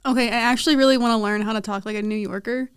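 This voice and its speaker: A woman's voice: fairly high pitch, steady tone, very fast, smooth, no room sound. A woman hosting a podcast in a casual, conversational way, speaking close to the mic. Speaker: podcast host.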